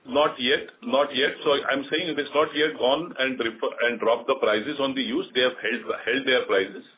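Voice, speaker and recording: A man's voice: mid-range voice, fairly even intonation, normal speed. A man with an Indian accent, a company executive, giving an unscripted explanation on an earnings call. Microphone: telephone conference call. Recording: noisy phone line with clicks.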